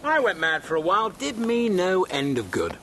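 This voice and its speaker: Cheerfully unhinged space drifter, radio comedy, whimsical and very up and down. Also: male voice, sits high, big pitch swings, normal speed, bright, forceful tone, slight background noise, heavily acted. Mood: breezy. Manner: breezy, whimsical, offhand. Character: breezy, eccentric male galactic hitchhiker, cheerfully unhinged. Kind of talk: radio comedy drama acting.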